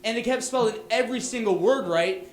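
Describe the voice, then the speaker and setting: Texan male comic, high voice, punchy and loud, stumbles over restarts, echoey room. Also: fairly quick. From Texas, USA. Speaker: stand-up comic. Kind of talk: stand-up set. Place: small bar venue.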